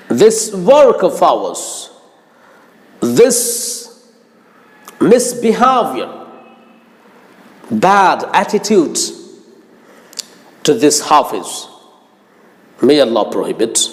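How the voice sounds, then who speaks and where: Slow, echoing male religious preacher. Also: medium pitch. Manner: earnest, formal, deliberate phrasing. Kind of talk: religious sermon with Arabic quotations. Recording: long hall-like reverb.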